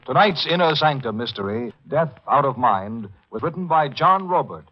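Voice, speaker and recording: A man's voice: medium pitch, animated, normal speed. Formal male vintage radio announcer. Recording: muffled old radio recording with music.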